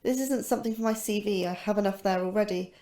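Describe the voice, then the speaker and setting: An actress with a British accent playing an ambitious young astrobiologist in a sci-fi audio drama, earnest and slightly superior. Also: female voice, mid-range voice, fast talker, warm tone, lightly acted. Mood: earnest, confident. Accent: British English. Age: young adult. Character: ambitious, self-assured, anxious young woman astrobiologist.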